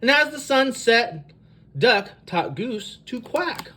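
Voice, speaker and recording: A storyteller reading a picture book aloud for young children in an animated, expressive way. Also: mid-range voice, slow.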